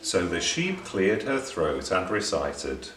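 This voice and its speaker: A man reading a children's story aloud as an expressive storyteller. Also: sits low, slow pace. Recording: roomy, distant, faint background noise.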